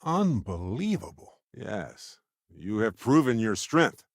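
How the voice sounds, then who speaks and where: A male actor playing a wry, warm-hearted space explorer companion in a video game, with a wisecracking, conversational delivery. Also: fairly low pitch, big pitch swings, slow pace, vocal fry, no echo, studio quality, full character voice. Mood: thoughtful, conversational.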